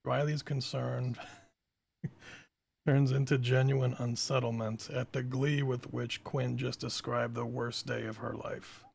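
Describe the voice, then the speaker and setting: A very creaky male voice narrates stage directions at a script read. The tone is rough and husky, with a middle pitch. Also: flat delivery, slow pace, a little mellow. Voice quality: gravelly, husky.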